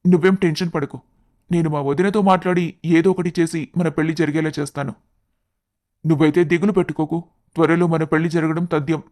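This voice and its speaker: A man's voice: fairly high pitch, steady tone, fairly quick, a little mellow, natural voice. A man playing an earnest young man in love in a story, speaking reassuringly.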